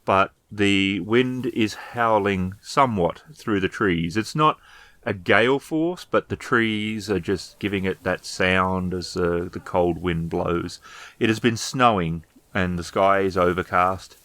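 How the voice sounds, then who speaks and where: A man's voice: low-pitched, slow pace, fairly bright tone, dry recording. A man narrating a tabletop roleplaying game as storyteller, describing scenes to the players.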